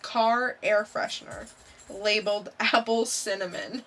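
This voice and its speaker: A woman's voice: medium pitch, fairly even intonation, measured pace, fairly bright tone, a little hiss or hum, rough recording. A woman co-hosting a podcast, chatting casually and informally in a blunt way. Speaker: podcast co-host. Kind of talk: casual quiz banter.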